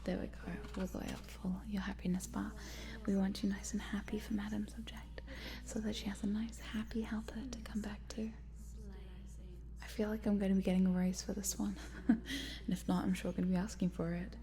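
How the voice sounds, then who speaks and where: A woman playing a cute but sinister scientist in an ASMR roleplay, coaxing in a soft, breathy whisper. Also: mid-range voice, flat delivery, a little fast, close to an everyday voice. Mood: soothing, teasing, then playful, conspiratorial. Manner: hushed, coaxing, murmuring, giggly asides. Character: cute sinister lady scientist, chatty, coaxing, quietly gleeful.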